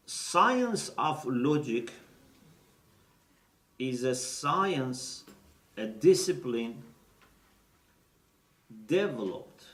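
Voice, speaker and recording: A man's voice: medium pitch, fairly bright tone. A man giving a teaching lecture, explaining step by step and checking in with his listeners. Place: lecture room. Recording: roomy echo, mic at a distance.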